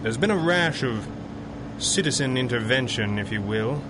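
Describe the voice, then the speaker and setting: An actor playing a resolute, articulate playboy crime fighter in a 1930s-style radio drama, measured and wry. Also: male voice, medium pitch, animated, very noisy, natural voice. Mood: measured briefing. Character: suave, resolute masked hero, wry billionaire playboy.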